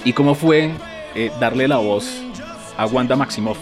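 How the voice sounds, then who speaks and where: A man's voice: mid-range voice, normal speed, over music. A man who hosts a radio show, asking long, winding interview questions. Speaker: radio host.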